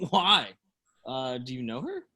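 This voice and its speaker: A man's voice: mid-range voice, very animated, slow pace, sharp tone, husky, heavily acted. A man reading the part of a nervous, casual teenage boy at a party in a table read.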